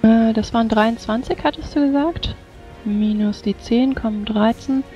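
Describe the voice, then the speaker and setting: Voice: medium pitch, moderate pace, warm tone. A person chatting casually as a player in a tabletop role-playing game. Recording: dull lo-fi voice chat, faint music.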